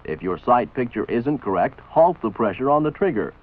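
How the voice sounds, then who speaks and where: Male American instructional narrator, measured and commanding, animated intonation, phone-like vintage film sound. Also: mid-range voice. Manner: authoritative, measured, didactic. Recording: tinny band-limited old film soundtrack. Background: voice-over for an old military training film.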